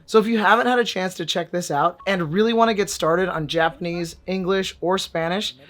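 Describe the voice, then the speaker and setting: A man's voice: high-pitched, fairly even intonation, a little fast, fairly bright tone. Wry, joking male vlogger. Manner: wry, joking, with sudden emphatic bursts. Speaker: VR fitness and language vlogger. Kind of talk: casual vlog review.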